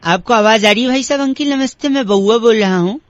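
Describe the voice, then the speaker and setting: Comic radio prank caller, played by a man: very high voice, dry close sound, pedantic, needling, mock-earnest. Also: quick pace, no room sound, dull audio. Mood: earnest pestering. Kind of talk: comic prank phone call.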